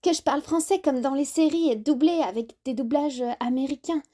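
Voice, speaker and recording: Mellow, higher-pitched woman hosting a podcast. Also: brisk. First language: French. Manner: didactic, conversational, addresses listener directly. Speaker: podcast host and French tutor. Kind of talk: solo explanatory podcast talk, informal.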